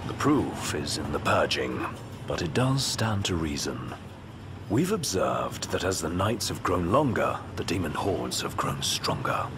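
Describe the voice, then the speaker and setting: A man's voice: sits low, sing-song, a little slow, noisy background. A man playing an earnest, articulate strategist in a video game, formal and precise in his wording. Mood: earnest, measured. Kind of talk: video game drama acting. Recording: music behind the voice.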